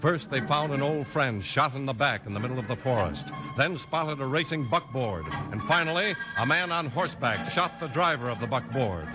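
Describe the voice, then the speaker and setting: A man with an American accent and a husky voice, announcing and narrating in scripted 1950s radio style. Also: medium pitch, lively intonation, moderate pace, hardly any pauses, over music, rough recording.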